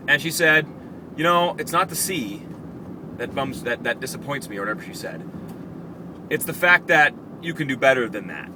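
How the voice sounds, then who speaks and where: A man hosting a casual vlog, telling personal stories in an animated, reflective, conversational way. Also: medium pitch. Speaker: vlog host. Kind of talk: casual personal storytelling to camera. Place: in a car. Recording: clear vehicle and street noise.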